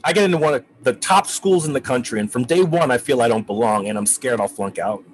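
An actor playing an anxious, embittered college student of about twenty in a play reading, earnest and colloquial. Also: male voice, mid-range voice, fast talker, a little hiss or hum, natural voice. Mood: bitter, indignant.

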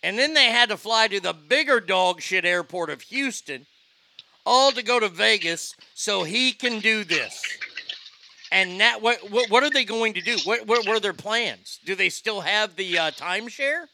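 Man hosting a talk show, high gravelly voice, bright and smooth, profane ranting. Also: expressive, measured pace. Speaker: host. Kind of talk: casual show banter.

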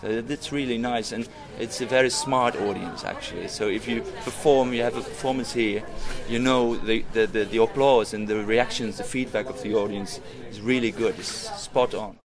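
A man's voice: on the low side, steady tone, normal speed, few pauses. A man, a performing artist, talking earnestly in a casual interview and repeating words while he searches for them. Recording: crowd chatter behind.

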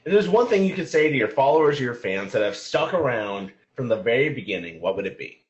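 A man's voice: mid-range voice, sing-song, quick pace. A man hosting a podcast, putting questions to a guest in a casual interview style over an online voice chat. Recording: muffled lo-fi internet voice call.

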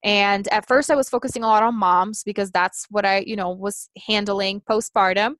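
A woman's voice: medium pitch, fairly even intonation, normal speed, a bit bright, dry recording. A woman in her thirties whose first language is Portuguese, a life coach talking earnestly as an interview guest, thinking aloud with restarts and frequent "you know"s. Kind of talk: casual interview chat.

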